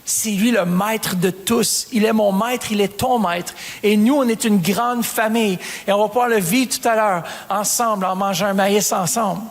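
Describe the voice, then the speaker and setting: A man's voice: high-pitched, normal speed, big-room sound. A man, preaching a sermon as pastor, calling out to the congregation with rising emphasis. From Canada.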